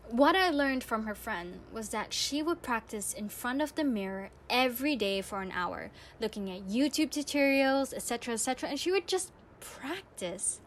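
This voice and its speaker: A woman's voice: a little high, lively intonation, moderate pace, hardly any pauses, a little hiss or hum. A young woman hosting a radio-style podcast, telling personal stories to listeners in a reflective, earnest way. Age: young adult. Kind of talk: personal anecdote, monologue to listeners.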